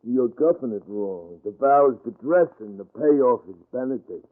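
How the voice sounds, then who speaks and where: An actor playing a jittery small-time American crook who pleads in thick underworld slang, in 1940s radio drama style. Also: male voice, mid-range voice, expressive, normal speed, lightly acted. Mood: aggrieved. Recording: tinny, band-limited old radio recording.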